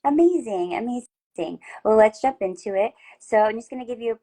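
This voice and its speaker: A woman's voice: a little high, animated, moderate pace, dark tone, breathy edge. A woman hosting a casual interview over a video call, talking in an affirming, chatty way with frequent restarts. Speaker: host.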